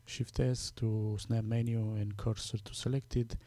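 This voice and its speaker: A man with a Romanian accent, Romanian his first language, talking through a software tutorial step by step, halting with many fillers as he works. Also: on the low side, flat delivery, no room sound. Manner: halting, many fillers, thinking aloud while working. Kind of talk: screen-recorded software tutorial.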